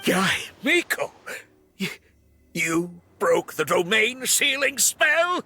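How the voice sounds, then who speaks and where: An actor playing a smug, power-hungry villain in an anime video game, with theatrical, drawn-out menace. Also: male voice, high voice, sing-song, sharp tone, a little hiss or hum, exaggerated voice. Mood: alarmed frustrated.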